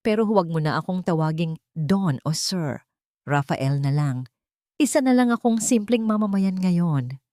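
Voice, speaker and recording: A woman with a Filipino accent voicing a calm, earnest older male tycoon in a dramatic audio story. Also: on the low side, no room sound, clean studio sound. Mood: calm assurance. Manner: formal, measured, earnest.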